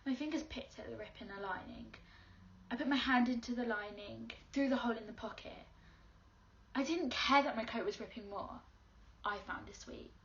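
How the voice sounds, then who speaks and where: An actress playing a lonely schoolgirl caught in the rain, speaking quietly and reflectively in a dramatic monologue. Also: on the high side, moderate pace, vocal fry, dull audio. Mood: wistful. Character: soaked lonely schoolgirl in purple coat.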